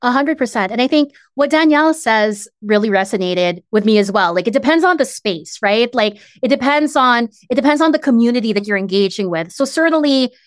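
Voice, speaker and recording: A woman's voice: a little high, animated, brisk, hardly any pauses, no echo, studio quality. A woman hosting a casual, conversational interview. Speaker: podcast host.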